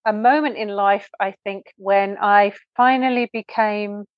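A woman's voice: medium pitch, steady tone, unhurried, no echo. A middle-aged woman author giving a conversational interview, thoughtful and informal, on a lo-fi recording. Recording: dull, lo-fi remote sound.

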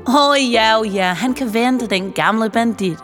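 Woman putting on a weird pushy pink-wig lady, high and wheedling, big reverb. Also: a bit bright, faint music behind, close to an everyday voice. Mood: coaxing. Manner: coaxing, salesy, wheedling.

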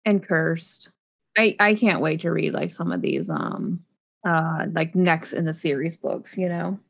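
A woman in easy, rambling conversation, her voice carrying a steady gravelly fry. She stops and restarts her sentences often and fills the gaps with ums and likes, the way a relaxed podcast co-host talks. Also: deep voice, unhurried. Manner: chatty, rambling, many fillers and restarts. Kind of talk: casual chat about books.

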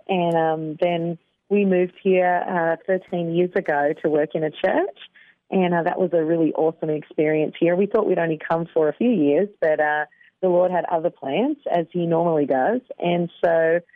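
A woman's voice: on the low side, no echo. A woman with an Australian accent, a pastor guest telling her story in an interview, friendly with light fillers. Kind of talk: interview answers. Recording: tinny phone line.

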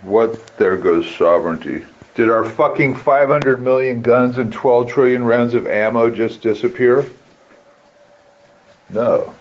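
A man's voice: medium pitch, a little slow. A man ranting informally about politics in a blunt, profane, emphatic way over a push-to-talk voice chat app. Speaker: participant in a political radio chat channel. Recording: muffled walkie-talkie app audio with background noise.

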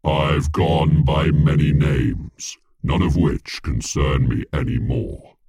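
A deep gravelly ancient archangel. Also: male voice, warm tone, slight background noise, heavily acted. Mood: solemn. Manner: slow, grave and solemn. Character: ancient enslaved winged archangel, male, vast, solemn.

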